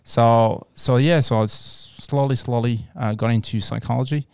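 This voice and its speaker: A man from France with a French accent, answering casually as an interview guest in a halting, repetitive way. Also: mid-range voice, fairly even intonation, vocal fry, a little mellow, clear, resonant tone, no room sound. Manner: halting, repeats words and phrases.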